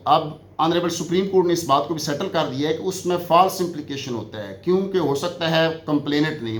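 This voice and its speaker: A man with a Pakistani accent giving an explanatory lecture, teaching by posing questions and answering them, repeating key phrases. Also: a little high. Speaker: law lecturer. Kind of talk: explanatory exam-prep lecture mixing Urdu and English. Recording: echoey room with faint background noise.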